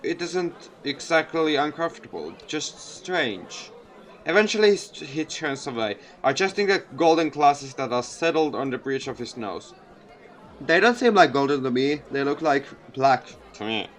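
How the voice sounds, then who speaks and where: A man's voice: on the high side, very animated, normal speed. A man narrating a visual novel aloud as a gaming video creator.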